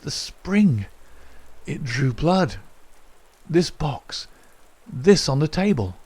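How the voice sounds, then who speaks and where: Storybook invalid played by a male reader: weak, pleading, drawn-out faltering delivery, gasps, pitch lifted above normal. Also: sing-song, a little mellow, slightly rough, full character voice. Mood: gasping. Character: feeble, suffering dying man, pleading and confused. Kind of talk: dramatised audiobook reading.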